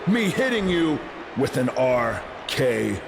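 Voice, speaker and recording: A man's voice: mid-range voice, big pitch swings, slow, gravelly, noisy background, full character voice. A man playing an arrogant, menacing wrestling villain in a video game, speaking in a taunting manner. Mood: smug, threatening. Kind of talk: video game cutscene acting.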